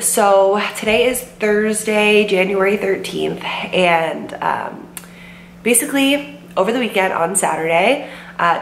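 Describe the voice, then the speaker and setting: A woman's voice: medium pitch, fairly bright tone. A sick young woman in her twenties, voice worn and her throat sore, telling a rambling story with lots of "like" and "um" to a camera in her bedroom. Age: 20s. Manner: chatty, lots of "like" and "um", quotes herself. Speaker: vlogger. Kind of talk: casual storytime vlog. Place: bedroom with bookshelves, indoors. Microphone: camera in front at desk distance. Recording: roomy with faint hum.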